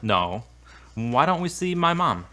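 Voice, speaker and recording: A man's voice: medium pitch, very animated, unhurried, sharp tone. An actor playing an earnest, devout man in a radio drama, speaking plainly and sincerely. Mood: calm, friendly. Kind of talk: scripted radio-play dialogue.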